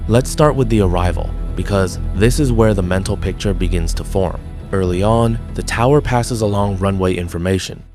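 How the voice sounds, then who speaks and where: A man giving a measured, deliberate scripted narration as the host of an analysis channel. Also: low voice. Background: background music.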